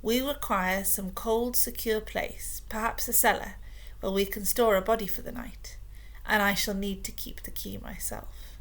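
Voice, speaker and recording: A woman voicing a scheming, smooth-talking male royal adviser, low and confiding, slow and emphatic. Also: animated, fairly bright tone.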